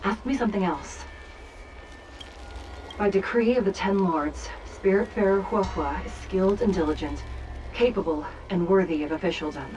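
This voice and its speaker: An actress playing a stern, composed female judge in an anime-style game, formal and measured in delivery. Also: mid-range voice, a little mellow, faint music behind, lightly acted, rough recording.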